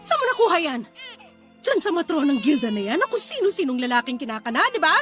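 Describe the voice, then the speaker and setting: Furious wronged wife in a radio drama, super high, swoops all over, tearful yelling, music behind. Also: female voice, very animated, fast. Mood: scornful, accusing. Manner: confrontational, tearful shouting, biting sarcasm. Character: furious betrayed young wife and protective mother. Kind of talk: Filipino radio drama acting.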